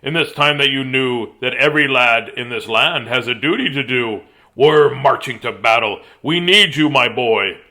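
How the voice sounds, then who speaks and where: A man voicing a pompous, bellowing army general from a storybook, barking out booming commands. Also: normal speed, bright, forceful tone, close to an everyday voice. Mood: blustering, commanding.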